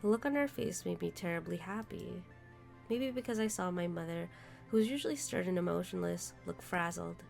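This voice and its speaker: A woman's voice: on the low side, fairly quick, vocal fry, soft background music. A congested woman reading aloud, in her own plain voice, the lines of a detached young man who cannot feel pain. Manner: reads game text aloud flatly.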